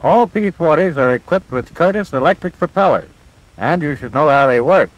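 A man acting as a military flight instructor from a 1940s training film. His voice sits fairly high and swings animatedly in pitch. He explains step by step with patient confidence, heard on a vintage film soundtrack. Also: expressive, normal speed, dry recording, lo-fi sound, natural voice. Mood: patient, instructive. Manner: clear, methodical instructional delivery.

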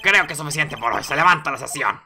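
A performer voicing a stern but befuddled old male judge in a game, solemn and deliberate. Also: medium pitch, animated, bright, forceful tone, faint music behind. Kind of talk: video game dialogue read aloud with character voices.

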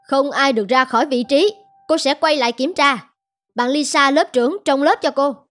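A strict middle-aged schoolmistress from a cartoon. She has a high voice and an authoritative, scolding manner. Soft music sits underneath. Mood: stern, scolding. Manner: brisk, authoritative, admonishing. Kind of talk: animated cartoon dubbing in Vietnamese.

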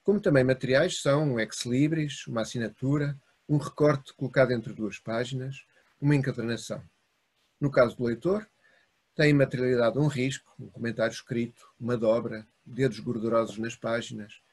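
Husky-voiced European Portuguese lecturer. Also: male voice, medium pitch. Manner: measured, enumerating in short phrases. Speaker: university lecturer. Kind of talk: academic lecture read from notes. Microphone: video call webcam.

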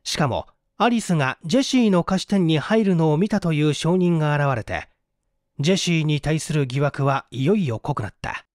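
A man's voice: a little high, lively intonation, normal speed, no echo, studio quality. A man narrating a mystery story in a formal, theatrical, suspenseful literary reading style.

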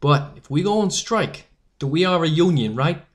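Man playing defiant young newsboy leader, highish voice. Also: lively intonation, moderate pace, lightly acted. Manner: slangy, commanding. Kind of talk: audio drama dialogue.

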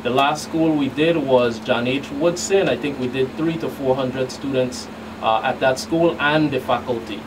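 A middle-aged man answering interview questions as a guest investigator, in a halting way with repeated words. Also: mid-range voice. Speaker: guest federal investigator on a radio show. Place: small radio studio. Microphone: studio mic on boom arm. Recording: distant mic, clearly noisy room hum.

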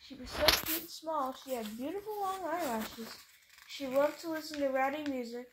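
A woman's voice: high-pitched, normal speed. A woman reading a children's story aloud in a playful, sing-song way, leaning on the rhymes. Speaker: picture book reader. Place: bedroom at home, indoors. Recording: home video with clear background noise.

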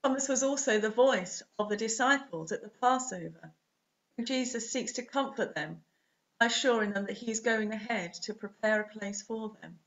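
A woman in her forties reading a scripted sermon as a priest, in measured, deliberate short phrases, recorded on a webcam at home. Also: on the high side. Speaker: preacher, Anglican priest. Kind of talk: scripted sermon read to camera. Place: small home room, indoors. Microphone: webcam or laptop at desk distance. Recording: dull, muffled webcam audio.

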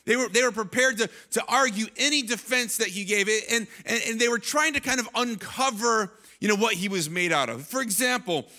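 A man in his thirties preaching a sermon on a church stage into a handheld mic, animated and often restarting his phrases. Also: high voice, fairly quick, hardly any pauses. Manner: animated, gestures while preaching, many restarts. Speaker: pastor. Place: large church stage, indoors. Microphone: handheld microphone.

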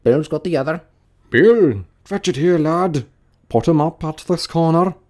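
A man voicing busy animal workers in a storybook, bickering and calling out brisk, colloquial orders. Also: a little high, expressive, a little mellow, pitched above the performer's own voice. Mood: bustling.

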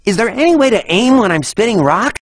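An actor playing a plucky young dragon hero in a video game, boyish and cheeky. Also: male voice, high voice, big pitch swings, fairly quick, slight background noise. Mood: cocky. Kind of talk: animated video game character acting.

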